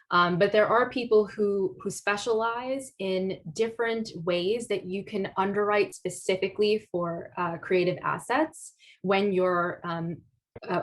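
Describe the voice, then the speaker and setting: Hesitant woman with a lowish voice. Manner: thoughtful, hesitant, many fillers and restarts. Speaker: guest speaker, artist. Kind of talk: answering audience questions at a talk.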